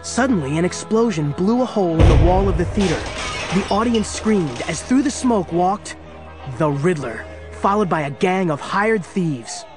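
An actor performing as the narrator of a superhero audio drama, telling it in a polished, suspenseful scripted style. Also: male voice, high voice, animated, normal speed. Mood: dramatic. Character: dramatic male storyteller of a superhero adventure. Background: dramatic music.

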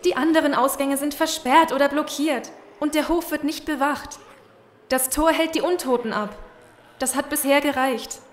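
A woman's voice: high-pitched, steady tone, normal speed, close to an everyday voice. An actress playing a frightened but resolute, bitter young woman in a German audio drama. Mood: urgent. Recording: echoey room with background noise.

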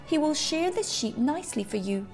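A woman voicing a kindly, practical female vet in a storybook, brisk and reassuring. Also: sits high, animated, a little mellow, soft background music, pitched above the performer's own voice.

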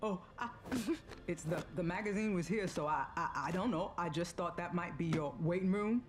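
An actress playing a brash, streetwise New York transit worker in a game, talking in a sassy, emphatic, colloquial way. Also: female voice, fairly low pitch, normal speed, noisy background, natural voice. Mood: flustered. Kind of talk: animated game cutscene acting.

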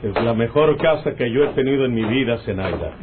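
An actor playing a sly, gleeful rural outlaw with a Mexican accent, teasing and drawling in an old radio serial. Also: male voice, medium pitch, lively intonation, fairly quick, natural voice. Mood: proud, coaxing. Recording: tinny, noisy old radio recording.

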